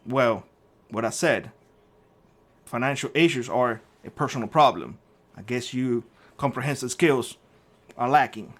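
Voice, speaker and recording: A man's voice: mid-range voice, a little slow, pauses often, fairly bright tone. Indignant man with a Cuban Spanish accent. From Cuba. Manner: indignant, combative, swearing. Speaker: prepper channel host. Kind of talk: ranting vlog callout.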